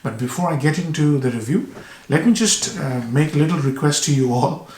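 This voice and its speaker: Hoarse, congested man raving about scent. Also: on the high side, darkish tone, slight background noise. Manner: enthusiastic, repeats words, pauses for breath. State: hoarse from a cold, blocked nose. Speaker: fragrance reviewer. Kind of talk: casual product review to camera. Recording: echoey room.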